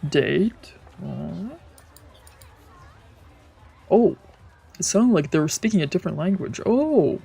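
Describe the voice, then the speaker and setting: Low-pitched, slow-paced woman reading a game aloud. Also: expressive, gravelly, warm tone. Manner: stumbles over lines, breaks into playful asides. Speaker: streamer reading a visual novel aloud. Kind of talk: let's play reading with casual reactions. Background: faint game music.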